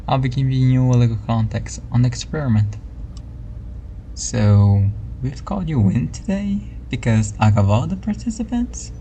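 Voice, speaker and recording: Woman as an eerie scientist, very dark breathy voice. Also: very deep voice, a little hiss or hum, full character voice. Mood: matter-of-fact. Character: suspicious female scientist-historian, calm and quietly eerie. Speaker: researcher giving a briefing. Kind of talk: spooky ASMR roleplay, soft-spoken.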